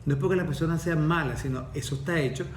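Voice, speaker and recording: A man's voice: a little high, recorded from a distance, a little hiss or hum. Lecturing Venezuelan man, off-mic in an echoey room. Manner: measured, lecturing, poses and answers his own questions. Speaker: course facilitator, guest. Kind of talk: explanatory podcast talk.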